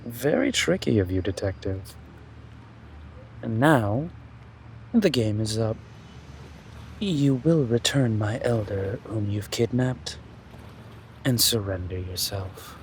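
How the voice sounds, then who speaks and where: A man playing a haughty, calculating young prince in a fantasy audio drama, speaking in a pompous, deliberate, menacing way. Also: lowish voice, animated, slight background noise. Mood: cold, condescending. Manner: pompous, deliberate, drawn-out delivery. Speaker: voice actor.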